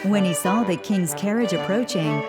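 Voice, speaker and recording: A woman narrating a children's bedtime story in a gentle, expressive way. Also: medium pitch, moderate pace. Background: children's music.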